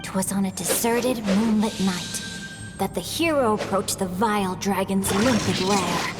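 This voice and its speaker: A woman's voice: mid-range voice, measured pace, close to an everyday voice. A woman voicing a graceful, theatrical young swordswoman in a game, calling out battle cries and reciting verse. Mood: poetic. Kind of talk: game combat voice lines. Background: loud game music.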